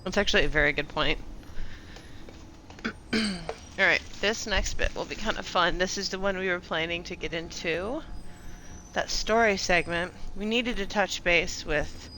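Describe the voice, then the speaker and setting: A woman's voice: on the low side, measured pace, long pauses, bright, forceful tone, a little hiss or hum, low-quality recording. A woman doing casual live game commentary, chattily thinking aloud and reacting as she plays. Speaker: video game streamer.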